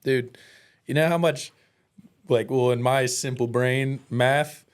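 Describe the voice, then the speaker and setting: A man's voice: medium pitch, fairly even intonation, takes it slowly, clean tone. An American man talking as a guest in a casual, candid way, telling personal stories with earnest, self-deprecating, slangy speech. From United States.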